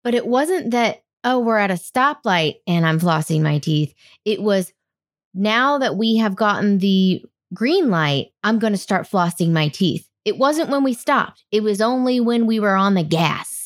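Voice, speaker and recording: A woman with a middle-pitched voice hosts a casual podcast chat. She laughs often and slips into quoted voices as she tells a story. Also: expressive, measured pace. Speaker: podcast host.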